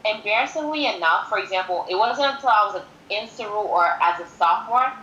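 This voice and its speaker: A woman's voice: medium pitch, animated, quick pace, pushed and bright, muffled recording. A woman in her twenties from Haiti who grew up in New York City, speaking earnestly as a podcast guest and repeating herself for emphasis. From born in Haiti, grew up in New York City from age five. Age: 20s. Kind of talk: conversational interview, personal account. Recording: roomy echo with faint background noise.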